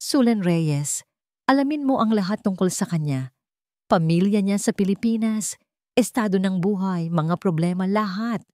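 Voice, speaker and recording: A woman's voice: mid-range voice, lively intonation, normal speed, darkish tone, dry recording, studio quality, lightly acted. A woman playing a commanding, suspicious male billionaire boss in an audio romance, curt and insistent.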